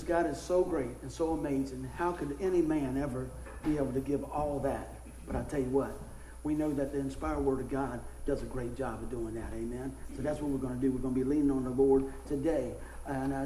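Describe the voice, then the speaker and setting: A middle-aged man, a pastor, preaching a casual sermon with a hoarse voice that he is losing, folksy and animated in his stories. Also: brisk, roomy sound, a little hiss or hum, low-quality recording. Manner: folksy, animated storytelling with rising pitch in anecdotes. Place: church.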